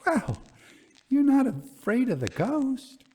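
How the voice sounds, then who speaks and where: Man voicing an eager, high-pitched society woman. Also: sing-song, slow pace, warm tone, slightly rough, exaggerated voice. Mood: excited. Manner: wheedling, exclamatory. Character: eager, pleading society woman from New York.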